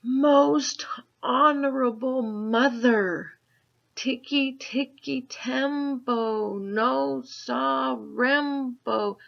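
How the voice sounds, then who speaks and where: A woman's voice: creaky, highs cut off, lightly acted. A woman reading aloud and voicing a small, breathless boy who pleads urgently. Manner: high, pleading, panting.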